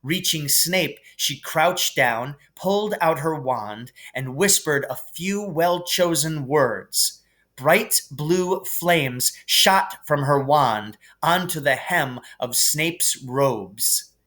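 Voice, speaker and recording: A man reading a children's novel aloud as expressive narration. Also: a little high, slow. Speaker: reader.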